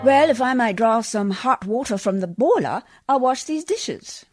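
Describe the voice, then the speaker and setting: Lady acting a prim proper woman in a radio play, high pitched, swoopy, formal, gets flustered easy. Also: animated, moderate pace, over music, close to an everyday voice. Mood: prim, reserved. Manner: formal, hesitant, stammering when flustered.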